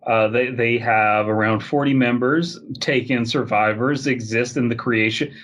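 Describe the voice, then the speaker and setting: Incredulous male podcast co-host, medium pitch. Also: fairly even intonation, unhurried, a bit bright, a little hiss or hum. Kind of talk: casual comedic chat, reacting to read-out web fiction.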